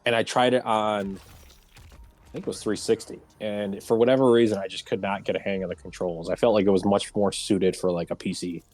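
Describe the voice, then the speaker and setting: A man's voice: lowish voice, fairly even intonation, normal speed, darkish tone. A man hosting a casual round table chat about entertainment, speaking in an informal, conversational way.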